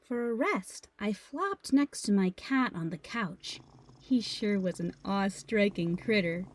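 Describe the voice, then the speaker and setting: A woman narrates a children's storybook with expressive drama. Her delivery is unhurried, with a medium-pitched voice and music running behind it.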